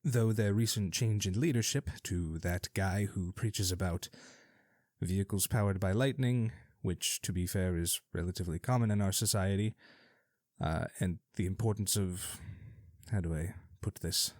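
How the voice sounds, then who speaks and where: A man's voice: deep voice, moderate pace, a little mellow, dry recording, studio quality. Wry man hosting a fantasy radio show. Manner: wry, theatrical asides. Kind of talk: scripted fantasy radio monologue.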